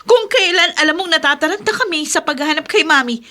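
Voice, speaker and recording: A woman's voice: high voice, fast talker, bright, forceful tone. An actress playing a bossy, hot-tempered young woman of a wealthy household in a radio drama, with an imperious, exclaiming delivery. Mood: demanding, irritated. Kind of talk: Tagalog radio drama acting.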